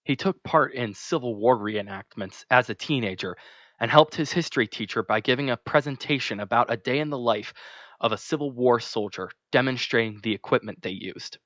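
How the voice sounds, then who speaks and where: Male narrator, mid-pitched, gentle measured memorial read, dry muffled lo-fi sound. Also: dry recording. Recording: dull, lo-fi dry recording.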